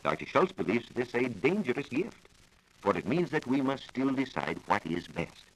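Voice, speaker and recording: A man's voice: medium pitch, very animated, normal speed, low-quality recording. An American man giving a formal, precise scripted science explanation as a reporter on a 1940s radio programme. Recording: vintage 1940s radio broadcast recording.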